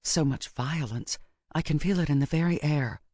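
A woman's voice: deep voice, sing-song, moderate pace, dry recording, clean studio sound. A woman voicing a sensitive, grieving female witch professor in a fantasy audiobook. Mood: hushed sorrow. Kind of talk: audiobook character dialogue.

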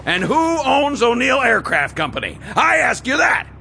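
A man's voice: very high voice, sing-song, moderate pace, gravelly, pushed and bright, shouting, a little hiss or hum, pitched above the performer's own voice, full character voice. A man voicing a big, blustering 46-year-old aircraft company owner who roars his indignation at everyone around him. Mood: furious blustering. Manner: roaring, indignant, bullying.

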